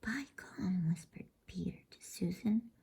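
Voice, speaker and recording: A woman reads a storybook aloud as ASMR in a hushed, unhurried, breathy whisper meant to soothe. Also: fairly low pitch. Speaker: reader of bedtime stories.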